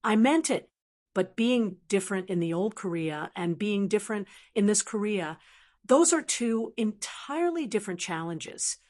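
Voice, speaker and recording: A woman's voice: mid-range voice, expressive, normal speed, darkish tone, close to an everyday voice. A woman voicing a male teacher in an audiobook, pressing with earnest questions. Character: male language teacher, earnest, probing, protective.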